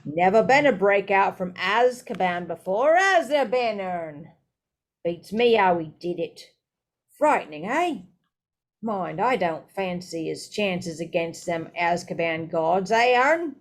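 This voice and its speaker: A chattering young bus conductor, loud and eager to spin a dramatic tale, as a woman reading aloud puts him on. He drags his words out slowly for effect. It sounds like a storybook read aloud at home. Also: medium pitch, lively intonation. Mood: gossipy. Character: excitable gossipy young male bus conductor.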